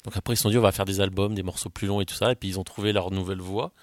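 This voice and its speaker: A man's voice: low voice, fairly even intonation, rapid-fire, dry recording. Male radio host, dry close sound. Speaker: radio music show host. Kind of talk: casual music chat.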